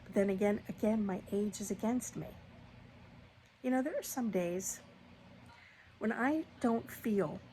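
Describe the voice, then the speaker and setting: A woman's voice: mid-range voice, measured pace, long pauses, mellow, slight background noise, low-quality recording. A woman in her sixties talking candidly and casually to her viewers, rambling with fillers and restarts. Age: 60s. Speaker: vlogger.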